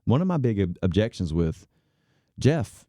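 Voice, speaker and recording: A man in his fifties, speaking into a close microphone in a dry, dead studio. He tells his story casually, with a lively voice that rises and falls widely. He laughs easily and pokes fun at himself. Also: fairly low pitch, moderate pace, dry recording. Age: 50s. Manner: animated, self-deprecating, laughs often, acts out quoted voices. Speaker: co-host telling his story.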